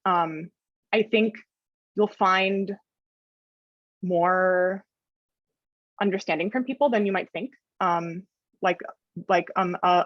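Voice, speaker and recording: A woman's voice: on the low side, dry recording, dull audio. A woman, a journalist, talking about her work, stuttering often with many fillers. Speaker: journalist and adjunct. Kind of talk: sharing experience at a panel.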